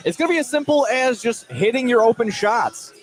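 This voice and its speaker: A man's voice: extremely high pitch, lively intonation, fairly quick, soft background music. A man giving informal, conversational color commentary on a live basketball game, often repeating his phrases.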